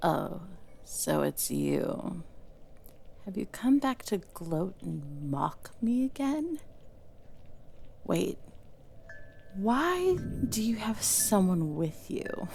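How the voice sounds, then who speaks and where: A woman's voice: fairly low pitch, very animated, extremely slow, gravelly, pauses often, darkish tone, slight background noise, exaggerated voice. A woman playing a bitter, mocking imprisoned dragon soul in a dramatic audio roleplay, with a theatrical, taunting delivery. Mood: cold, suspicious.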